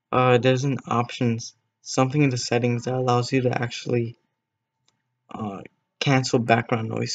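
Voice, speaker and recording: A man's voice: mid-range voice, lo-fi sound. A teenage boy streaming a game, chatting casually with viewers in a rambling way, voice cracking now and then. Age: teens. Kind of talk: casual gameplay stream chat.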